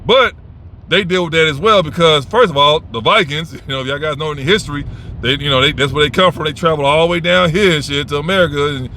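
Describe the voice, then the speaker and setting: A man's voice: fairly high pitch, fast, sharp tone, a little hiss or hum. A man giving advice in a casual, unscripted monologue, blunt and rambling, swearing freely and restarting his sentences.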